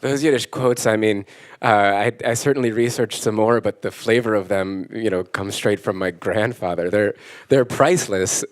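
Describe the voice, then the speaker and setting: A man's voice: lowish voice, normal speed, dry recording. A man, a film director, answering an audience question reflectively, with amusement. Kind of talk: post-screening Q&A. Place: screening auditorium with audience.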